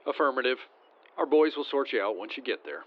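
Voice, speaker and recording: An actor plays a cocky American military man in an audio drama. His mid-range voice moves up and down freely as he gives brisk orders. The recording is a little muffled. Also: medium pitch, animated, natural voice. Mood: official, concerned.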